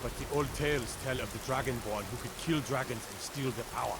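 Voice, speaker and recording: An actor playing a blunt, awed city guard in a fantasy video game, telling of legends with emphasis. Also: male voice, medium pitch, brisk, background noise, lightly acted. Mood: insistent. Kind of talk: video game character dialogue.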